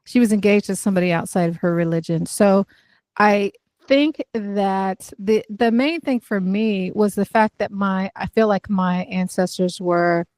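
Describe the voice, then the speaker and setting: A woman from the United States, a podcast guest, answering in a thoughtful, measured way with small fillers. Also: medium pitch, steady tone, a little mellow, no room sound. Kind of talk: conversational interview.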